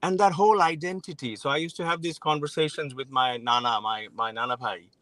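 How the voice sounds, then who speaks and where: A man's voice: a little high, a little fast, fairly bright tone, no room sound. Guy from bangladesh in his 40s, frank and thoughtful, lots of restarts, dry close sound. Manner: frank, reflective, with many restarts. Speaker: guest. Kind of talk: interview conversation.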